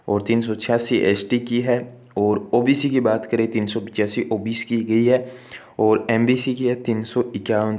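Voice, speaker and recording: Flat-voiced tutor man. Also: medium pitch. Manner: addresses viewers as guys, repeats and restates numbers with frequent "yaani ki". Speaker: online tutor for agriculture exams. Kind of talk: screen-recorded walkthrough. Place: indoors, voice-over on a phone screen recording.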